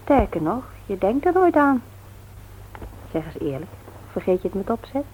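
A woman's voice: mid-range voice, very animated, normal speed, slightly rough, narrow, tinny audio. An actress playing a playful but earnest, devout wife and mother in a naturalistic radio drama. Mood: earnest reproach. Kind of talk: naturalistic Dutch radio play acting.

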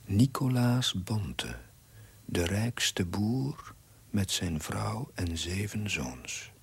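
An actor playing a pensive, self-doubting village curate, narrating his diary in a measured, hushed way in a TV period drama. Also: on the low side, very animated, gravelly, husky, no echo, heavily acted. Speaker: narrator, a young village priest.

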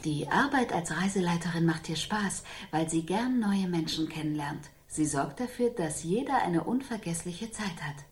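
Woman acting a low-voiced, composed reporter. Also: lively intonation, moderate pace, hardly any pauses, natural voice. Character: composed female reporter of a tour guide's contented life. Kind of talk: German radio play, scripted report.

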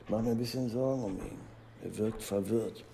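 An actor playing a worried, gently fussing man at home in a radio drama, with a creaky voice. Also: fairly low pitch, a little fast. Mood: worried, groggy. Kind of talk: domestic radio drama dialogue. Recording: faint room noise, clicks behind.

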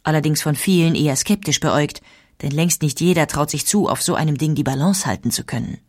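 A woman reading scripted documentary narration in a measured, explanatory way. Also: deep voice, a little mellow. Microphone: studio microphone.

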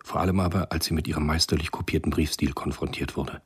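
A man narrating a literary radio play in a measured, sombre manner. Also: a bass voice, steady tone, breathy edge.

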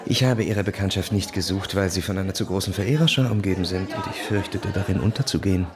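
An actor playing a suave, cynical, bored young aristocrat in a radio drama, narrating and speaking with smooth, ironic detachment. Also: male voice, deep voice, quick pace, a crowd in the background, close to an everyday voice. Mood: teasing.

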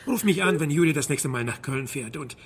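A man's voice: fairly high pitch, expressive, fairly quick, slight background noise, close to an everyday voice. A man playing a weary, wry private detective in a radio drama, with a dry and sometimes hesitant manner. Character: weary, wry male private detective, narrating his case. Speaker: lead character and narrator. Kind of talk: radio drama acting with narration.

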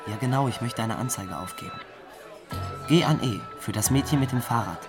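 Young runaway, male actor: guarded, boyish, medium pitch, radio crime drama over music. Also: a little fast, crowd noise, close to an everyday voice. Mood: polite, shy. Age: young adult.

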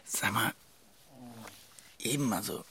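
A weary, creaky-voiced pragmatic husband. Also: male voice, on the low side, slow pace, bright, forceful tone, a little hiss or hum, exaggerated voice. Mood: hushed, doubtful. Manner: hesitant, muted, often whispering. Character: weary, pragmatic husband, placating, sceptical, evasive. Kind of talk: naturalistic German radio drama dialogue.

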